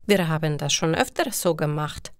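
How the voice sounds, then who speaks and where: A woman's voice: low voice, expressive, normal speed, no echo, clean studio sound. A woman voicing a friendly, helpful pizza delivery man who explains things patiently, in a learners' storybook. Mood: encouraging.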